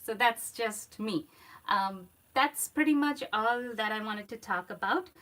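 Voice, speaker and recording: A soft-voiced woman chats unhurriedly on a home livestream, talking in English, which is not her first language. She strings sentences together with lots of fillers and few full stops. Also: on the high side. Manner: slow, with many fillers and run-on sentences. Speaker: art channel host. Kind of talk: casual livestream chat.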